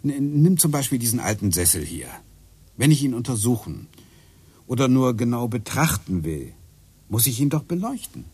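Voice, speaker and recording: Radio drama: a man plays a brooding, musing science obsessive, average pitch, animated intonation, faint score behind. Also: normal speed, husky. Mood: thoughtful. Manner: musing, explaining, drifts into whispers. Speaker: actor in a radio play.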